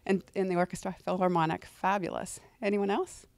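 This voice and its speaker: Hoarse woman speaking over a mic. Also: on the low side, fairly even intonation, brisk, a little mellow, no echo. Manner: conversational, with fillers and restarts. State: hoarse, losing her voice from a cold. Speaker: university administrator presenting. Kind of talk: presentation to student senate. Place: lecture hall, indoors. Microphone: handheld microphone.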